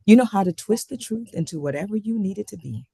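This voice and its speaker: A woman's voice: medium pitch, expressive, fast, creaky, mellow, husky, no room sound, clean studio sound. A woman reading the part of a weary, guarded wife in a failing marriage at a drama table read. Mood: hurt, accusing.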